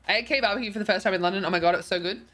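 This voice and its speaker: A woman's voice: mid-range voice, racing, a bit bright. A tired, congested woman, chatting casually as a streamer and reading viewer messages, rambling with lots of ums and likes.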